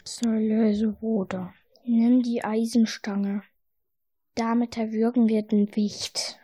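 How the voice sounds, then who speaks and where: A woman playing a gruff, quarrelsome male giant in an amateur fairy-tale radio play, blunt and grumbling. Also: on the high side, level tone, takes it slowly, mellow, dry recording. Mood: scheming.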